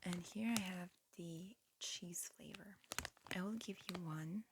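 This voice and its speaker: Woman for ASMR roleplay examiner, breathy whisper, very slow gentle patter, slightly low pitch. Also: background noise. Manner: gentle, unhurried, murmured clinical patter with pauses. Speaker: ASMR roleplay performer playing an alien medical examiner.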